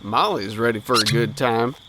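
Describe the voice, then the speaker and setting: A man's voice: mid-range voice, moderate pace, background noise, natural voice. An actor playing an easygoing, slangy teenage boy with folksy grammar in a period audio drama. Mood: carefree, teasing. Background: crickets and night insects.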